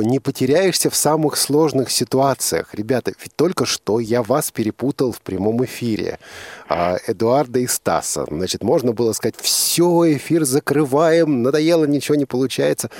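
A male radio host doing a live interview. His delivery is animated, with wide swings in pitch, and he nudges the guest along encouragingly. The sound is close and dry. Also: no echo. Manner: encouraging, prompting with short questions.

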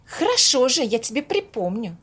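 A woman acting an impatient, sharp-tongued young woman in an amateur audio play, snappy and sarcastic. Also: on the high side, sing-song, normal speed, slightly rough, exaggerated voice. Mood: irritated, curious.

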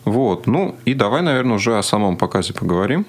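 A male radio interviewer talks very quickly in a casual style. His voice sits fairly low and has a gravelly creak to it. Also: big pitch swings.

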